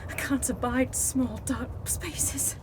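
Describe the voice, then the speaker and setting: A woman's voice: high voice, fairly even intonation, slow. A woman acting a pompous, self-important, melodramatic society lady in an amateur radio play. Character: haughty, self-important, fussing lady who lunches. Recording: clearly noisy amateur recording.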